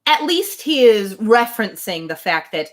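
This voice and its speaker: A woman hosts a casual reading session with a very expressive, mid-pitched voice. She is thoughtful and often breaks off her own sentences as she goes. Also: moderate pace. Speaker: host reading a novel aloud on a livestream. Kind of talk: reading aloud with casual commentary.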